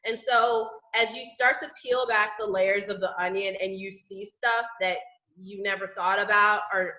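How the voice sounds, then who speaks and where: A woman's voice: mid-range voice, flat delivery, moderate pace, pushed and bright, reverberant, muffled recording. A woman guest who owns a business, speaking reflectively in casual conversation with many "you know"s.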